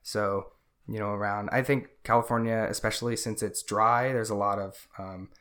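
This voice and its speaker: Man, lowish voice, casual podcast co-host, explanatory, lots of ums. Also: steady tone, normal speed, a bit bright. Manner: explanatory, many fillers. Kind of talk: casual chat.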